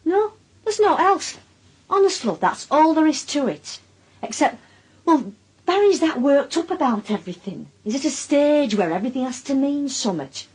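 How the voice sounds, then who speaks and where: A woman's voice: sits high, very animated, normal speed, a little mellow, low-quality recording. An actress playing an anxious, defensive working-class mother with a Northern English accent in a naturalistic radio drama, speaking hesitantly and evasively. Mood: flustered, defensive.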